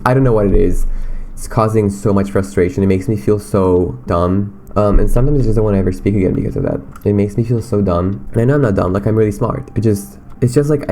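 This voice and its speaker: A man's voice: on the low side, dark tone, slight background noise. A young man from Romania with a slight Romanian accent, rambling in a casual, self-deprecating way on his solo podcast. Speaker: podcast host.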